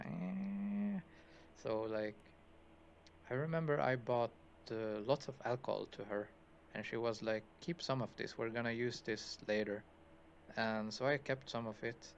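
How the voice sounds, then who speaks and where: A young man rambles through a confessional story, dropping "like" everywhere, at an ordinary mid pitch. The audio is dull and lo-fi, with a faint hiss underneath. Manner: rambling, casual, full of "like".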